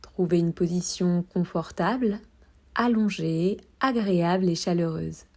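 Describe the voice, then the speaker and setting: A woman guiding a body scan meditation in a soft, at times breathy voice, with calm, soothing instructions and long pauses. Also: lowish voice. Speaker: yoga meditation guide.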